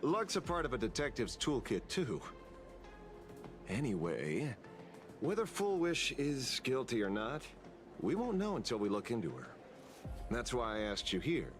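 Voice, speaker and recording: A man's voice: mid-range voice, sing-song, unhurried, pauses often. A man voicing a dogged, easygoing detective in a game, with a theatrical delivery that moves between grave and playful. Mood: determined. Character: dogged, easygoing male detective with dramatic flair. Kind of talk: scripted game dialogue. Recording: background music under the voice.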